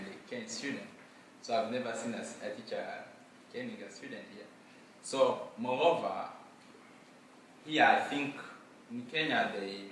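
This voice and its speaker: Young man, soft-spoken, echoey room. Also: fairly low pitch, noisy background. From Democratic Republic of Congo. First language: Mashi. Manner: earnest, halting with frequent "uh". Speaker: student presenting his project. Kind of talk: personal testimony and Q&A. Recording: far-off mic in echoey room.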